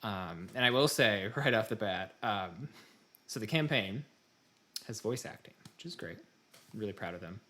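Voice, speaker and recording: A man's voice: on the low side, expressive, normal speed, sharp tone. An American man hosting a podcast in casual conversation, talking in a wry, rambling way. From United States. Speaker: podcast host. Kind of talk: casual chat.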